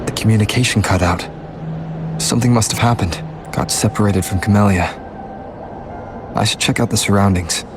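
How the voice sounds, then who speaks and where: A man playing a calm young adventurer hero in a video game, reasoning aloud in a measured, analytical way. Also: fairly low pitch, background noise, lightly acted. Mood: concerned. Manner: measured, analytical inner monologue. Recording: background music under the voice.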